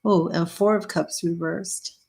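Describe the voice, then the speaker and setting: A woman reading tarot cards on a live stream. She chats casually and thinks aloud, and her voice is getting worn and a little hoarse so she keeps clearing her throat. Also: fairly low pitch, fairly even intonation, unhurried, darkish tone. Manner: chatty, thinking aloud, with small laughs. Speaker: tarot reader.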